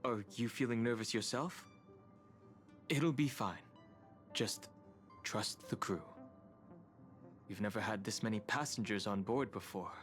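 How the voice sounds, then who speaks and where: A man voicing a calm, reserved and serious young crew member in a game, restrained and matter-of-fact. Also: on the low side, a little slow, long pauses, soft background music, lightly acted. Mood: reserved, reassuring. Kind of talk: anime-style game voice acting.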